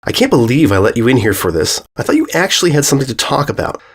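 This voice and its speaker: A woman's voice: very deep voice, wildly swooping pitch, fast talker, slightly rough, heavily acted. A woman voicing a bossy, defiant, snappish young filly pony in a dramatic story reading. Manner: snappish, impatient.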